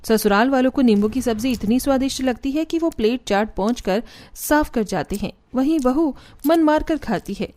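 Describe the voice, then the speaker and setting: High-voiced woman narrating a story. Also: expressive, normal speed, few pauses, a little mellow. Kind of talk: scripted cartoon story narration.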